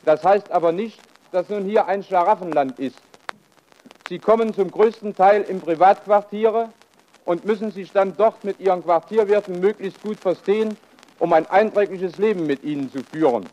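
A man's voice: sits high, normal speed, mellow, close to an everyday voice, rough recording. An actor playing a formal committee chairman, giving a stiff, earnest welcome speech with admonitions in a radio play. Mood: formal, admonishing.

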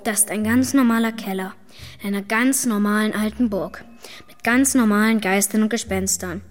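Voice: medium pitch, steady tone, normal speed, lightly acted. An actor playing a plucky, eager young boy ghost hunter in a German children's audio drama. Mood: nervous. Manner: eager, explains ghost lore in detail. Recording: reverberant hall, music bed.

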